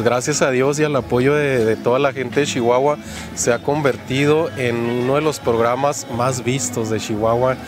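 A man in his thirties hosting an interview outdoors, chatty and friendly, with a handheld mic and many fillers. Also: medium pitch. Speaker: host. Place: outdoors on a park bench by a street.

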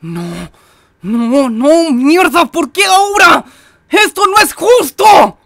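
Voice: high-pitched, expressive, slow pace, a bit bright, no room sound, studio quality, full character voice. An actor voicing a petulant, anguished villainous angel in a fandub, given to indignant whining. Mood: distraught, outraged. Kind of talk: fandub character acting.

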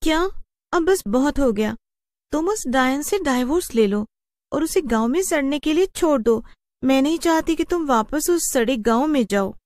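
A woman's voice: sits high, animated, normal speed, darkish tone, no room sound, clean studio sound, voice pushed up. A woman voicing a scheming, self-serving young mistress in a cartoon, whiny and insistent. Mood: demanding, spiteful. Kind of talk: animated story dialogue.

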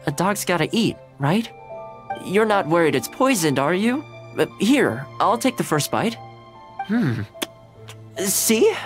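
An actor playing a friendly, caring, patient young man in a video game, with a casual, coaxing manner. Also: high voice. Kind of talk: video game character dialogue. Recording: music under the voice.

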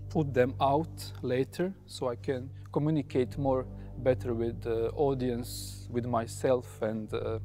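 A young man from Croatia speaking haltingly with restarts in an interview. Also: medium pitch, little pitch movement, warm tone, soft background music. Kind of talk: casual interview.

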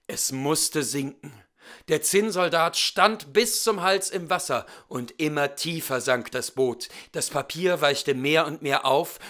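A man reading a fairy tale aloud as a storyteller, with deliberate, theatrical and expressive delivery. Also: on the high side.

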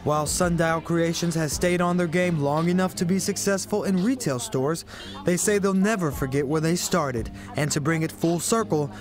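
A young male correspondent reads a scripted news report in a crisp, polished way. His voice sits fairly high for a man. Soft music plays quietly underneath. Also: moderate pace.

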